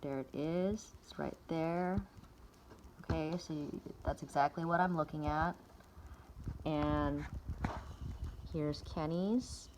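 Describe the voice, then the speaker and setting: Woman vlogger, casual chat, low voice, echoey noisy room. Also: steady tone, slow pace, pauses often. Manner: chatty, many ums and restarts. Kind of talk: casual chat, walking through results on screen.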